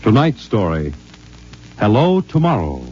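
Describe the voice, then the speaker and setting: Male announcer, low voice, deliberate, dramatic 1950s radio narration, clear music behind, muffled old recording. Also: huge pitch swings. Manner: portentous, deliberate.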